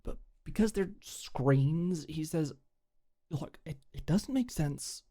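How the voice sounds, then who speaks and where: A young woman playing a tough but awkward, secretly tender delinquent girl in an intimate ASMR roleplay, speaking softly and hesitantly. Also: low voice, expressive, takes it slowly, heavily acted. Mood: exasperated.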